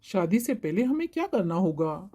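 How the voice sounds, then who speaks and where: A woman's voice: medium pitch, big pitch swings, normal speed, mellow, breathy edge. A woman voicing a polite young man courting a bride in a cartoon story, accommodating and eager to please. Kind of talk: Hindi cartoon moral-story voice acting.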